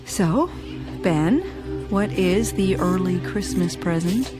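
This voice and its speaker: An actress playing a sharp, excitable geologist, wry and eager, in a radio drama. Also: female voice, lowish voice, slow pace, music in the background.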